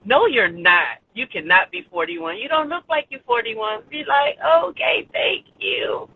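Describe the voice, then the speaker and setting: A man's voice: high voice, sing-song, a little slow, slightly rough. A man in his forties calling in from a car, upbeat and encouraging, laughing often. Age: 40s. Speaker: podcast guest. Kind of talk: casual chat. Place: in a car on the street. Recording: tinny phone line with faint road noise.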